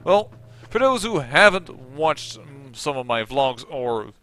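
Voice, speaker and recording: Chatty Dutch man with a bright voice. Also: mid-range voice, big pitch swings, slow. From Netherlands. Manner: chatty, rambling, self-mocking asides. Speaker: gaming channel host. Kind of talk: let's play commentary.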